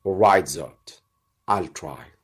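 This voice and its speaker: A man voicing an anxious, doubtful gentleman in a storybook reading, agitated and questioning. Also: low-pitched, slow, slightly rough, heavily acted. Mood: reluctant. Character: anxious, doubtful gentleman reluctantly posing as king.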